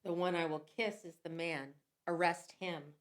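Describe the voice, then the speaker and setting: A woman's voice: on the low side, measured pace, natural voice. A woman reading the part of Judas in a church passion play, in a plain earnest voice. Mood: firm. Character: Judas, male disciple turned betrayer.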